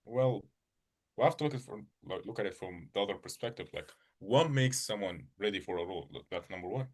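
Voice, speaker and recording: A man's voice: on the low side, brisk. A man answering questions in an online class over a video call, talking it through in loose casual phrasing. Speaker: student on a call. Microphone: video call mic.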